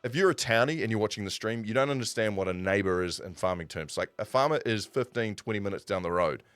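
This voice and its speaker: A man's voice: lowish voice, lively intonation, fast talker, fairly bright tone, clean tone, no echo. A man in his forties with a New Zealand accent, telling stories in a casual, rambling, conversational way on a live stream. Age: 40s. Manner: rambling, conversational, self-correcting. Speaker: streamer and broadcaster.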